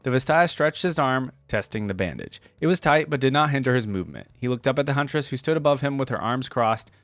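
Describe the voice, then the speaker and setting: A man hosting a reaction video, reading text aloud and commenting casually as he thinks out loud. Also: mid-range voice, animated, quick pace, no echo.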